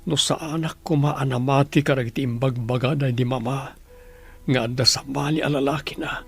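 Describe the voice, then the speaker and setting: A man acting in a radio drama as a wealthy husband who pleads prayerfully, with a breathy delivery. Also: medium pitch, fairly even intonation, moderate pace, faint music behind. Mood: anguished, pleading. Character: anguished wealthy husband praying for his wife.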